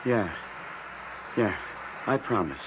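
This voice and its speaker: A man's voice: lowish voice, lively intonation, slow pace. An actor playing a desperate, guilt-ridden working man in an old-time radio drama, weary and agitated. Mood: weary, guarded. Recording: dull lo-fi radio recording with music.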